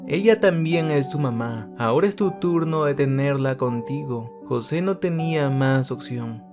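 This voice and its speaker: A man reading a story aloud in an even, steady narrating voice. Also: medium pitch. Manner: even, steady reading that speaks every character's lines in the same voice. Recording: faint guitar music behind. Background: soft guitar music.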